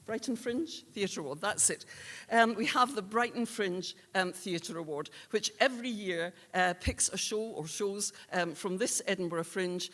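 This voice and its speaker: A woman presenting at a live awards event, speaking informally and haltingly. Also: medium pitch, a bit bright. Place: large indoor hall at an awards event. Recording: echoey reverberant hall.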